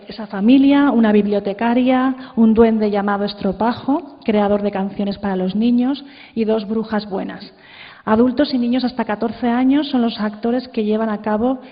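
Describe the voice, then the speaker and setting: A woman from presenting informally at a conference, halting and conversational, often adding tag questions. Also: on the high side. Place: large hall at a conference. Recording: muffled, reverberant hall sound.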